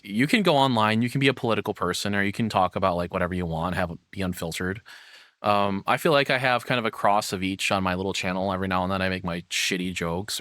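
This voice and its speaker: A man's voice: fairly low pitch, a bit bright, dry recording. Dry, close-sounding man reacting casually. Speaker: streamer reacting to a video.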